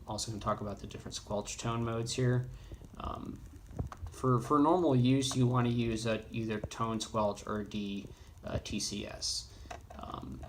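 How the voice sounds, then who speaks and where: A man presenting an informal, off-the-cuff software tutorial, halting and rambling as he thinks aloud. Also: on the low side. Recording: clearly noisy, with keyboard clicks.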